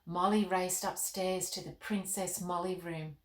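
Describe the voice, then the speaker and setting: A woman hosting children's storytime, narrating expressively and chatting to young listeners in an animated, encouraging way. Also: fairly low pitch, measured pace, echoey room. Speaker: children's author and storytime host.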